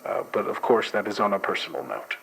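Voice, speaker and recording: A man calmly narrating an in-character dream investigation report in a measured way. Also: on the low side, creaky, breathy edge, low-quality recording.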